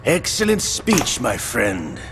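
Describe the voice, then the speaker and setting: A man's voice: medium pitch, wildly swooping pitch, slow pace, noisy background, exaggerated voice. An actor voicing a sly, mocking male villain who talks theatrically.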